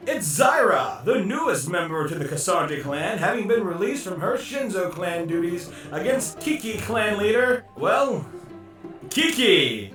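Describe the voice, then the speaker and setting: A high, swooping male fight announcer, acted out for a visual novel. He is showy and excitable, a touch squeamish, and sounds roomy and distant under music. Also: exaggerated voice. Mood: rousing. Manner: dramatic, showy. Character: excitable tournament arena announcer, squeamish and theatrical.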